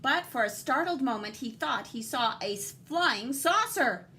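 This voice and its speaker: Female teacher storyteller, high voice, expressive and dramatic picture-book delivery for young kids, slight background noise. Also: unhurried. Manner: animated, dramatic emphasis, guiding and questioning. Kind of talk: expressive children's read-aloud with asides to young listeners.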